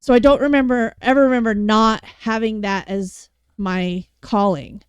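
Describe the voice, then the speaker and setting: A woman answering interview questions on a casual podcast, giggly and halting, often restarting her sentences. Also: fairly high pitch, steady tone, clear, resonant tone, no room sound, muffled recording. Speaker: podcast guest.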